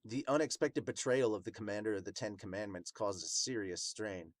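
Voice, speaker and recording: A man voicing a remorseful demon captain in a manga dub, recounting his past in a somber, measured way. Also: on the low side, no echo, natural voice. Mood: somber, regretful.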